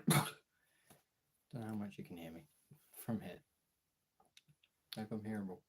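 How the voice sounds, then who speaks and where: A British man with a raspy, hoarse voice from being ill, chatting casually and swearily on a livestream. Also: fairly low pitch, fairly even intonation, a little slow, a little mellow, slight background noise. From Britain. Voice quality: raspy, throaty. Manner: informal, sweary. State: hoarse from illness, throat clearing. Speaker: live streamer and audio voice performer.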